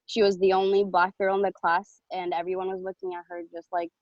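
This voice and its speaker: A woman's voice: fairly low pitch, little pitch movement, brisk, dry recording. A woman of about twenty from Ethiopia, reading a prepared speech as a public commenter, earnest and personal, with fillers. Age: around 20. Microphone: video call. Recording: dull lo-fi video call audio.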